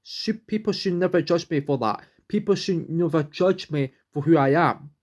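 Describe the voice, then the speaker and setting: A man's voice: a little high, normal speed, darkish tone. A young man, vlogging at home, rambling earnestly and restarting sentences as he searches for words. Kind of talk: rambling personal vlog.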